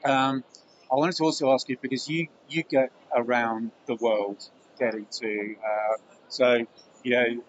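A man hosting a relaxed podcast chat. He talks with lots of restarts and repeated words and slips in jokes. The sound is a little muffled, with faint background noise. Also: medium pitch, measured pace. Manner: hesitant, repeats words, joking. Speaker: podcast host. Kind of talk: casual podcast interview.

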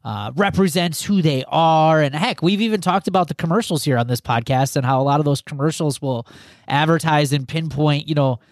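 Podcast host, male, a bit higher voice, loose rambling delivery, dry room. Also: a little fast, dry recording. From United States. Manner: rambling, many restarts and "you know". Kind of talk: casual podcast chat.

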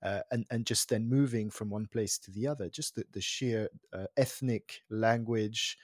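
A man's voice: on the low side, normal speed, husky, no room sound, studio quality. A man hosting a podcast interview, asking long questions with many fillers and restarts. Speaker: podcast host.

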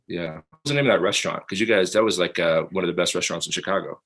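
A man's voice: deep voice, rapid-fire, fairly bright tone, slightly rough. A man hosting a casual chat, asking hesitant questions full of fillers. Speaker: podcast host.

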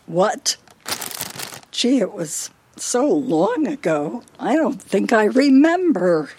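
An actress with an American accent playing a bossy, forgetful, scolding older wife in a radio play. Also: female voice, mid-range voice, big pitch swings, takes it slowly, a little mellow, a little hiss or hum. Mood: pensive, hesitant. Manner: scolding, fussy.

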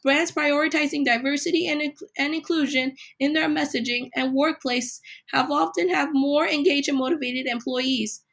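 A woman hosting a solo podcast, a little hoarse from a cold, reading numbered points with emphasis. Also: high voice, steady tone, normal speed, hardly any pauses, a bit bright. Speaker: podcast host.

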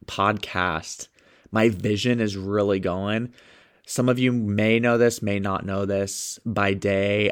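A man with a stuffed-up nose hosting a motivational podcast, talking with upbeat enthusiasm as a sales coach. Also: on the low side. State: stuffed up, sniffling.

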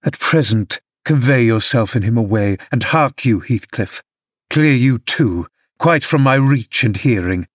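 A man's voice: medium pitch, lively intonation, unhurried, no echo, studio quality. A man voicing a drunken, violent young father who rages and curses. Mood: bitter. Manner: raging, blustering.

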